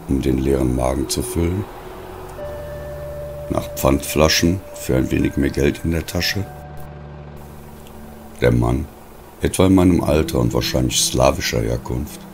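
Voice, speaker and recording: A man reading a scripted diary story as an audiobook narrator, in a measured, deliberate way with pauses between phrases. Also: a bass voice, background noise.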